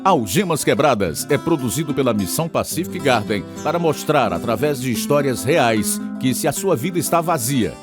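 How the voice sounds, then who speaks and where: A man's voice: mid-range voice, animated, moderate pace, hardly any pauses. Brazilian male radio announcer over guitar music.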